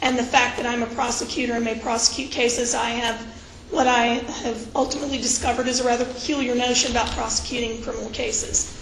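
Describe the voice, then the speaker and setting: A woman's voice: fairly high pitch, flat delivery, vocal fry. A woman in her fifties, an attorney with a cold, giving firm character testimony at a hearing. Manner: firm, measured answers. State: hoarse from a cold. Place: hearing room. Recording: echoey room with background noise.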